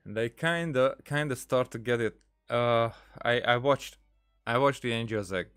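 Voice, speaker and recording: A man giving casual game playthrough commentary as a streamer, thinking aloud haltingly and repeating words. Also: mid-range voice, a bit bright, smooth, soft background music. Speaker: gaming streamer.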